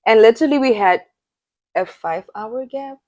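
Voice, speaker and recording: A woman's voice: a little high, normal speed, darkish tone, dry recording. A young woman from Zimbabwe co-hosting a podcast, chatting casually and telling a story with lots of laughter. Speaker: podcast co-host. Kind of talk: casual chat.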